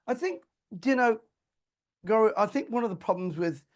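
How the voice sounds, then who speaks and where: A man's voice: high-pitched, expressive, normal speed. A man hosting an interview, earnest and apologetic, whose first language is Calabrian. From Australia. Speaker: host.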